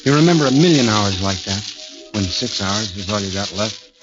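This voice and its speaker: A man plays a working-class American prisoner facing death, telling his story in a low, brooding voice. The delivery is plain and confiding, in old radio style. Loud music sits behind the dull 1940s recording. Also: expressive, a little fast, natural voice. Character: haunted working-class condemned man recounting his ordeal. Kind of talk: 1940s radio acting, first-person narration.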